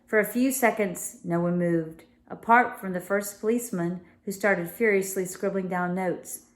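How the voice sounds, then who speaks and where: Woman, storyteller, read-aloud narration, mid pitch, casual explanatory asides. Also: normal speed. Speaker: storyteller reading a children's novel aloud.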